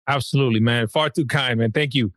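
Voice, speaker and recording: A man from the Dominican Republic, a guest on a podcast, telling his story in an easygoing, warm way. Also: medium pitch, quick pace, no echo, studio quality. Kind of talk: casual interview chat.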